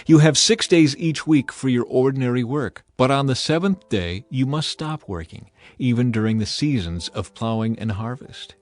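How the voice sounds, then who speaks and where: A man's voice: mid-range voice, lively intonation, moderate pace, hardly any pauses, no echo, natural voice. A man voicing a solemn, commanding God in dramatized scripture, grave and declamatory. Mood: stern, commanding. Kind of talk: dramatized audiobook acting.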